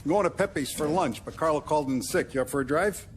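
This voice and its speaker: An actor playing a genial but commanding older Italian-American mob boss in a cinematic game, wry and expansive. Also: male voice, fairly high pitch, brisk, noisy background, lightly acted. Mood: easygoing. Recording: music behind the voice.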